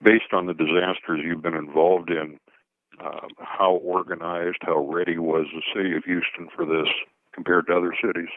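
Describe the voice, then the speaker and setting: A man with a deep, even voice questions an official as a reporter would on a press call. The sound is dry and phone-like. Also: normal speed.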